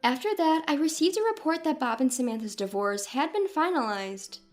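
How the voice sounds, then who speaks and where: A woman narrates a scripted first-person story in a high voice. Her delivery is dramatic and clearly read aloud. Faint music plays behind her. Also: animated, normal speed.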